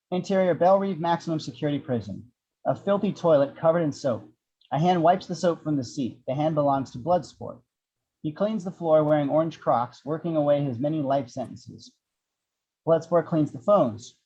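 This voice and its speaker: A man narrates stage directions for an amateur script read. His voice sits a little high and has a husky grain. The sound is somewhat dull and lo-fi. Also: mellow.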